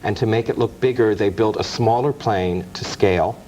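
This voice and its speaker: A man's voice: on the low side, moderate pace, mellow, a little hiss or hum, low-quality recording. A man speaking as an author guest, telling anecdotes in an explanatory, storytelling way. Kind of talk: anecdotal film-history interview.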